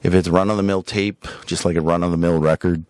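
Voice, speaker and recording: A man hosting a podcast in a casual, rambling way, showing items for sale with drawn-out emphasis and frequent tag questions. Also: low-pitched, fairly even intonation, darkish tone, clear, resonant tone, no room sound. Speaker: podcast host and record shop seller. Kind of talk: casual rambling product showcase.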